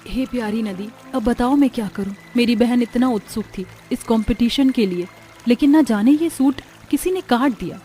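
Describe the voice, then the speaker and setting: A woman's voice: fairly high pitch, moderate pace, mellow, natural voice. A woman playing a caring, protective young elder sister, a poor seamstress, in an earnest, soothing storybook manner. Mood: despairing.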